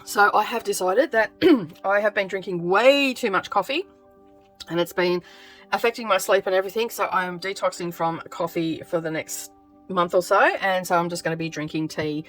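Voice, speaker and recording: A woman with a croaky voice chatting casually as she sews, thinking aloud with lots of ums. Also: lowish voice, normal speed, a bit bright, faint music behind, low-quality recording. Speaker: craft vlogger. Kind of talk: casual craft vlog chat.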